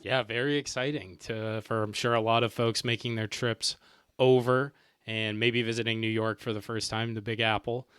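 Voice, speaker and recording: A man's voice: on the low side, flat delivery, moderate pace, a bit bright, clean tone, no room sound. A man hosting a podcast in a conversational interview style. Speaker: podcast host.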